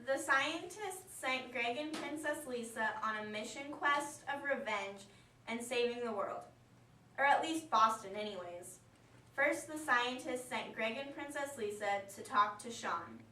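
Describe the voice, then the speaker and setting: A woman's voice: a little high, expressive, a little slow, sharp tone. A woman reading scripted story narration aloud for an amateur puppet show. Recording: echoey room, distant mic, faint noise.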